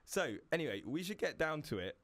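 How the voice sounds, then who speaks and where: A man's voice: fairly high pitch, big pitch swings, brisk, a bit bright. A young British man co-hosting a casual podcast, chatty and easygoing, often laughing. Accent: British English. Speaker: podcast co-host. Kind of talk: casual chat.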